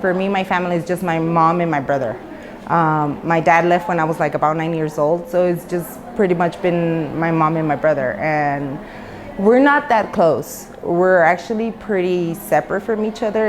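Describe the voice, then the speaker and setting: A woman's voice: on the low side, a little mellow, a little hiss or hum. A woman in her thirties, born in Mexico and raised in the United States, talking openly in an interview with lots of fillers and restarts. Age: 30s. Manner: loose and talkative, many fillers and restarts. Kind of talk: candid personal interview. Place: women's prison in Mexico.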